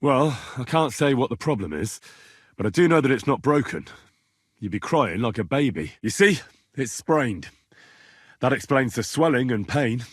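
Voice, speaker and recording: A courteous young medieval man-at-arms for a video game. His mid-range voice is husky and moves expressively up and down. He speaks plainly and earnestly, deferring to his betters, in a very dry recording. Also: mid-range voice, big pitch swings, normal speed, dry recording. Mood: concerned, practical. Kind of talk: video game character dialogue.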